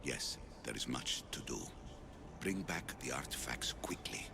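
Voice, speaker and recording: A man playing an ancient, solemn father who guards secret knowledge in a video game, speaking gravely and cryptically in a gravelly voice. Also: lively intonation, unhurried, background noise, full character voice. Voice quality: very deep, gravelly, breathy at phrase ends. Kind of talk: video game cutscene acting. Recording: music behind the voice.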